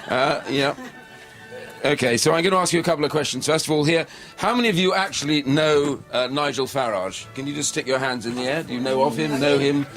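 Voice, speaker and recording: A man hosting on radio, briskly greeting and questioning studio guests with formulaic politeness. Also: on the high side, fairly bright tone, faint music behind. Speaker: radio host.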